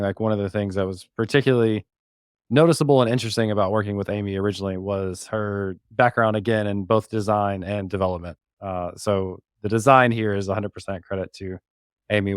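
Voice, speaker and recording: A man's voice: lowish voice, fairly even intonation, brisk, clean tone, no room sound, clean studio sound. A man, a podcast co-host, casually talking through a walkthrough in a conversational way. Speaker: podcast co-host, guest on the show.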